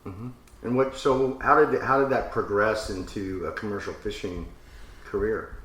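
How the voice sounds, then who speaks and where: A man hosting a casual podcast, relaxed and loose, with frequent pauses and fillers. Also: on the low side, breathy edge, poor audio. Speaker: podcast host.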